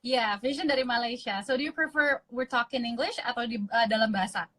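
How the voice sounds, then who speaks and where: An Indonesian-accented woman hosting a phone live stream, chatting casually and gushing with excitement. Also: fairly high pitch, fast. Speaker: host of an Instagram live. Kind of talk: casual live-stream chat switching between two languages. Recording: phone live stream with faint ambient noise.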